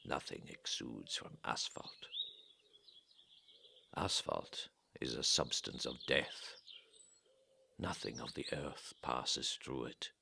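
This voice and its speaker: A man reading an atmospheric literary story in a measured way, pausing between short phrases, with a gravelly voice that often turns breathy and trails off. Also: rumbling low, background noise. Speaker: reader of a literary audio story. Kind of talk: slow atmospheric literary narration.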